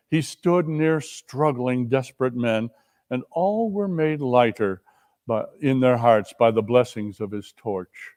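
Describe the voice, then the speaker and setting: A man narrates as a dramatic reader. His mid-pitched voice moves slowly, setting out each phrase with measured emphasis. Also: a little mellow.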